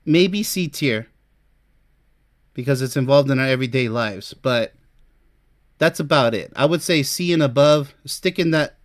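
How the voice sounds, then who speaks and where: A man's voice: mid-range voice, a little slow, long pauses, no room sound, studio quality. A man giving informal relationship advice as a host, chatty and emphatic, speaking straight to the listener. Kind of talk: informal advice talk to camera, ranking topics.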